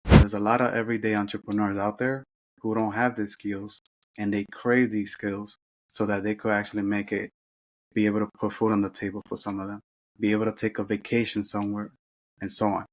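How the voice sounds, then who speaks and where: Middle-aged man from the Dominican Republic; low, monotone, earnest interview storyteller. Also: a little fast. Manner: earnest, with frequent restarts and self-corrections. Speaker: guest sharing life story.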